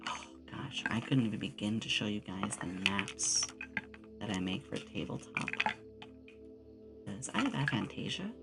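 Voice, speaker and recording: A woman's voice: a bass voice, creaky. A woman chatting casually on an ASMR livestream, rambling with playful asides, close on a binaural microphone. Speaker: streamer and game master.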